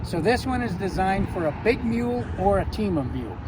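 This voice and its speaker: A man's voice: high voice, sing-song, fairly quick, noisy background. An older man who builds wagons, telling rambling folksy stories in a casual outdoor interview. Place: outdoors by a van on a street. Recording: background music under outdoor talk.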